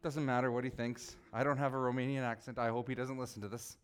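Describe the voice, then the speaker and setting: A man preaching a relaxed, conversational sermon, joking with his congregation and teasing people by name. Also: medium pitch, quick pace, smooth. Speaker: pastor.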